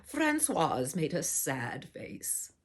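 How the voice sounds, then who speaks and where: A woman reading a children's storybook aloud in a theatrical, deliberate way, as if addressing young listeners. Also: low voice, huge pitch swings, slightly rough. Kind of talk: performed children's storybook narration.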